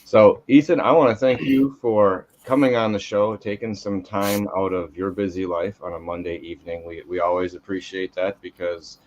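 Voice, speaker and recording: A man hosting a whiskey review show, talking in a relaxed, joking way and often repeating words. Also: low-pitched, moderate pace, hardly any pauses, lo-fi sound. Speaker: host. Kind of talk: casual podcast hosting.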